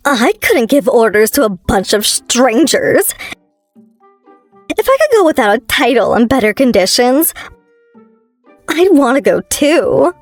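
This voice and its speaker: A woman's voice: fairly high pitch, sing-song, unhurried, long pauses, soft background music. A woman playing a young female boss in a manga dub, a tipsy, pouty ex-girlfriend with a whiny, bossy manner. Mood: grumbling. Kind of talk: manga dub character acting.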